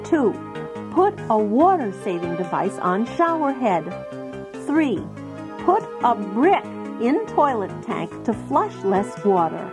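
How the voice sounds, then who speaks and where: A woman playing a brisk, chirpy, eccentric schoolteacher in a children's audiobook, with deliberate, commanding enunciation. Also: fairly high pitch, big pitch swings, music in the background. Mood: brisk, instructive. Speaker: teacher character in children's read-along.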